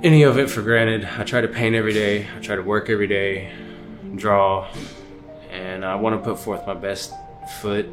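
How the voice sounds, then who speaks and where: Casting: earnest man student, lowish voice, guitar music underneath. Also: fairly even intonation, moderate pace. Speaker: art student.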